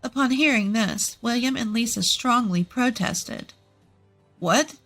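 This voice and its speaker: A woman's voice: on the high side, a little slow, gravelly, a bit bright, faint music behind. A woman reading a scripted, dramatic first-person story as its narrator.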